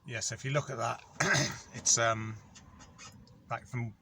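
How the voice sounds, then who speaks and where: A man, a van courier chatting through a casual vlog in a rambling, matter-of-fact way with many pauses and ums. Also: mid-range voice, fairly even intonation, creaky, sharp tone, breathy edge. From North Wales. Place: in or beside his van on an industrial estate.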